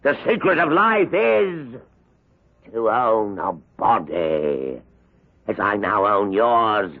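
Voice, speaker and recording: A wicked ghostly nobleman in an old radio horror drama. His delivery is slow, theatrical and widely swinging in pitch, commanding and sinister. The sound is a dull vintage recording in deep echo. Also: big pitch swings, heavily acted. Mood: gloating. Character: wicked, gloating ghost of an aristocratic old-world villain. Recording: echoey reverberant old radio recording, music behind.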